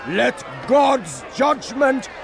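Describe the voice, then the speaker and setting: An actor playing a zealous, tyrannical regent in a video game, preaching in a pompous, declamatory way. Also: male voice, very high-pitched, big pitch swings, creaky, heavily acted. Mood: fervent, condemning. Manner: pompous, declamatory, drawn-out phrasing. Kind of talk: theatrical public oration, video game acting. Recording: crowd and loud noise behind.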